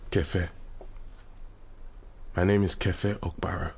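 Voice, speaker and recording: A man's voice: deep voice, fairly even intonation, takes it slowly, vocal fry, darkish tone, husky, full character voice. An actor playing a bitter, defiant young man, a proud activist son who speaks in an accusatory, declamatory way in a radio drama. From London, England. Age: young adult. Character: defiant, bitter grown son turned Nigerian oil-rights militant.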